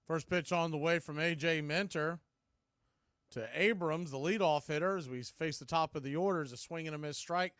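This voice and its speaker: Male play-by-play baseball announcer. Crisp, slightly high voice, very dry sound, clipped live calls. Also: normal speed, a bit bright, dry recording. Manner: clipped phrases, calls rising on the action. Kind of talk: live play-by-play commentary on a stream.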